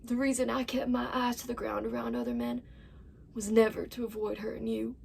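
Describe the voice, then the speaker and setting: A woman's voice: fairly high pitch, big pitch swings, brisk, creaky, full character voice. A woman performing a dramatic monologue as a desperate young farm wife confessing to her absent husband in an anguished manner. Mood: pained confession. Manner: anguished, swinging between whispers and outbursts. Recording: distant mic with faint background noise.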